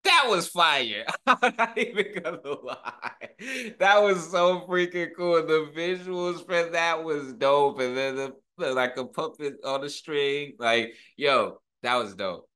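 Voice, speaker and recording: Slow, animated man reacting to music. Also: on the high side, clear, resonant tone. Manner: slangy, exclamatory, repeats short phrases of amazement. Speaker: rapper and reaction video host. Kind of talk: casual excited music video reaction. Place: small room at home, indoors. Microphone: desktop microphone close by, headphones on. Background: pop music from the video.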